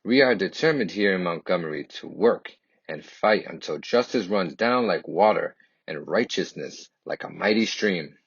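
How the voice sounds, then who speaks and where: A resolute preacher delivers solemn oratory in a storybook, played by a male reader. The voice is low and husky, with a roomy, slightly muffled sound. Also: expressive, normal speed, far from the mic, full character voice. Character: solemn, resolute civil rights preacher giving a speech. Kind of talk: children's storybook read-aloud.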